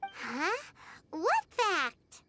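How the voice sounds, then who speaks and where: A woman voicing a tiny cheerful childlike fairy companion in an anime-style video game, chatty and exclamatory, with a squeaky voice. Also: wildly swooping pitch, drawn-out words, heavily acted. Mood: puzzled. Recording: loud game music behind the voice.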